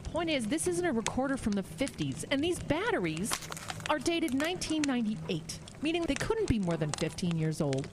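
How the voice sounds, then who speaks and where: An actress playing a sharp-minded, determined woman in an audio drama, pointed and insistent. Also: high-pitched, very animated, moderate pace, noisy background.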